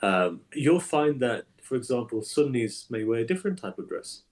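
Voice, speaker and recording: Emphatic, animated male imam guest. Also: mid-range voice, moderate pace. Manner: animated, emphatic, repeats phrases. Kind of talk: conversational interview.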